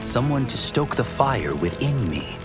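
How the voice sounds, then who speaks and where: An actor voicing a solemn, earnest young warrior monk in measured, formal game narration. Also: male voice, mid-range voice, very animated. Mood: earnest, brooding. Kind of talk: dramatic scripted ending narration. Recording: studio voice over music.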